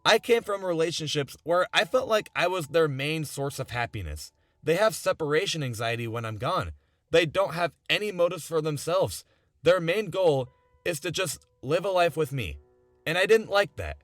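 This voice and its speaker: Young male video essayist, highish voice with very lively intonation, earnest and conversational, music underneath. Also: normal speed, dry recording. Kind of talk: scripted video essay narration. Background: background music.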